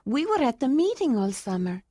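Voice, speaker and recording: A woman voicing an emotional young woman in an audiobook, raising her voice into a higher, heartfelt tone. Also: big pitch swings, normal speed, mellow, no room sound, clean studio sound. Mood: tearful.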